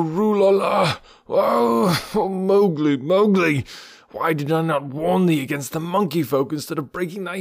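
A man voicing an anxious, fussing old male bear who wails and laments loudly in a storybook reading. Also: no room sound, studio quality. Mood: wailing. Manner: loud, high and wailing, drawn-out cries.

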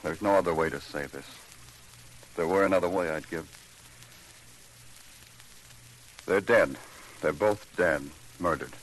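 A man's voice: deep voice, moderate pace, poor audio. A man acting a weary hard-boiled American police detective who narrates his case in clipped, dramatic old-time radio style. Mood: somber. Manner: clipped, dramatic, brooding delivery. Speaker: lead actor and narrator. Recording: vintage radio recording with music.

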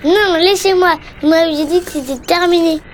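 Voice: very high-pitched, normal speed, slight background noise. An actor in an audio horror drama playing a frightened, reluctant young boy who pleads. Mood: pleading, resisting. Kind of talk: audio fiction acting.

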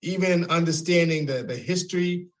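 Halting male radio host. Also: high voice. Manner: halting, repeats words, many fillers. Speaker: radio talk show host. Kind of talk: casual call-in interview.

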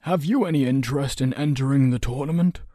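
A man playing a careful, persuasive guest in an audio story, measured but emphatic and fierce when challenged. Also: mid-range voice, warm tone, dry recording, studio quality, lightly acted. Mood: gently probing.

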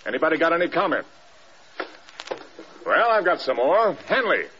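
An actor playing a stern, demanding American air force general in clipped, blunt classic radio drama style. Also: male voice, on the high side, very animated, moderate pace, pushed and bright, a little hiss or hum. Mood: brisk, commanding. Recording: dull, band-limited old radio recording.

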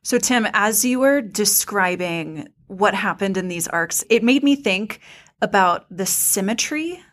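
A woman's voice: mid-range voice, expressive, unhurried, a bit bright. Articulate woman discussing as podcast co-host. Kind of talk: casual analytical fan discussion.

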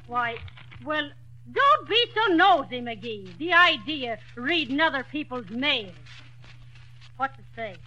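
A woman's voice: sits high, big pitch swings, slow, pushed and bright, full character voice, poor audio. A woman playing a dry-witted wife on old radio. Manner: dry, teasing comebacks. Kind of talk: 1940s radio comedy acting. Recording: old radio broadcast recording.

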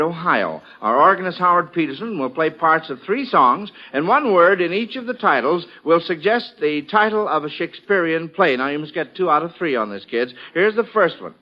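A man's voice: sits high, lively intonation, normal speed, hardly any pauses. A man with an American accent hosting a 1940s radio quiz in a folksy, encouraging way, often echoing contestants' answers. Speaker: quiz show host. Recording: muffled, noisy vintage radio recording.

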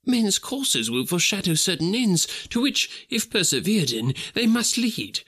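A man voicing an old miserly gentleman who pleads in a high, strained voice. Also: sing-song, a little slow, husky, exaggerated voice. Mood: pleading. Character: old miserly man, terrified then overjoyed.

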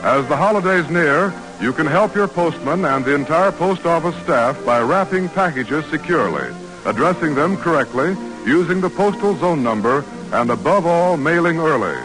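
High-ish formal American radio announcer man. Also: animated, normal speed, low-quality recording. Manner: formal, declamatory. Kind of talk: 1940s radio announcing, scripted. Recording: vintage radio broadcast with music. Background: music.

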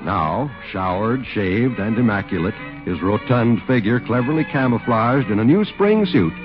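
A man's voice: on the low side, expressive, moderate pace. A man announcing in a polished, theatrical 1940s radio style, reading from a script. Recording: dull old radio recording. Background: music.

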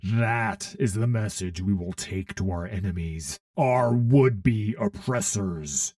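Cyborg warlord preacher, low dark voice, grandiose and solemn, takes his time, audio drama style. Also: slow pace, dry recording, studio quality. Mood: fervent, commanding. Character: commanding, zealous half-machine warlord preaching to followers. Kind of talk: dramatic audio story acting.

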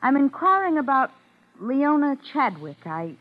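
An evasive, determined woman in old-time radio style. Her voice is high and moves a lot in pitch. The recording is muffled and old. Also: big pitch swings, unhurried. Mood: hesitant, probing. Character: evasive, determined woman in a vintage crime drama.

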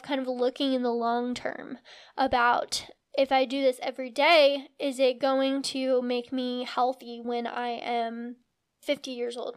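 A woman's voice: high voice, smooth. A young adult woman hosting a health podcast, explaining and encouraging listeners in an earnest way. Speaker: podcast host. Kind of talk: educational health podcast monologue.

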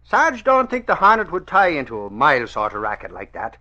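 An actor playing an excitable, boastful male reporter, who chortles and uses folksy phrasing, in a dull old radio recording. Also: on the high side, a little fast, heavily acted. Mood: confiding. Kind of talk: 1940s radio acting.

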